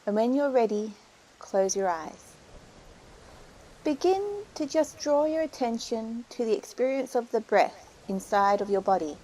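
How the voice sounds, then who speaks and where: A woman leading a guided meditation, giving soothing, unhurried instructions with pauses between phrases. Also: on the high side, dark tone, slight background noise, poor audio.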